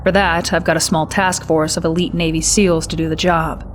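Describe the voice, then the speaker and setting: A fairly low female voice from an actress as a brisk intelligence officer in a strategy game. She briefs crisply with a wry, confiding edge over faint music. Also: flat delivery, lightly acted. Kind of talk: scripted mission briefing.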